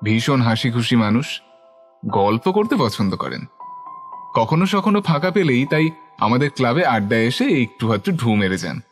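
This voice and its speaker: An actor playing a curious young club member who narrates a ghost story in the first person, with scripted dialogue. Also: male voice, medium pitch, sing-song, normal speed, faint music behind. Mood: observant, curious.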